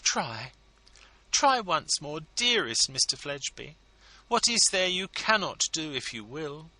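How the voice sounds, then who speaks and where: A man voicing a gushing, flattering scheming wife who pleads sweetly in a storybook reading. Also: a little high, measured pace, dry recording, pitched above the performer's own voice, exaggerated voice, poor audio. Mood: gushing pleading.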